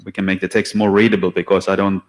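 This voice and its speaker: A man discussing a draft at a technical meeting, halting and often repeating his words. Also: low-pitched, flat delivery. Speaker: draft author presenting. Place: standards meeting room.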